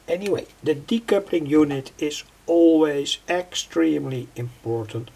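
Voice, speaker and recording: An older man giving an unscripted hands-on tutorial in a halting, deliberate way, often repeating his phrases. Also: a little high, darkish tone. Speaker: electronics hobbyist presenter. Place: indoors at a home workbench. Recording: clearly noisy home recording.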